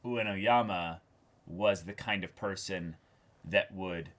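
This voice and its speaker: A man's voice: sits low, slow pace, fairly bright tone. A man co-hosting a reaction show, talking earnestly and effusively in casual discussion, often repeating words as he searches for the next one.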